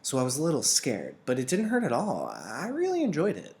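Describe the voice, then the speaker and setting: A man's voice: medium pitch, huge pitch swings, fairly quick, darkish tone, pitched above the performer's own voice. A man reading a storybook part as an earnest, polite young boy, eager and brave, with emphatic delivery.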